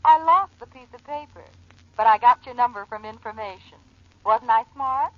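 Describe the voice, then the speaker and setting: A woman's voice: fairly high pitch, moderate pace, no room sound, natural voice. An American actress playing a chatty, trusting, slightly impatient young woman in a 1940s radio drama. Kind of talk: 1940s radio detective acting. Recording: dull 1940s radio broadcast recording.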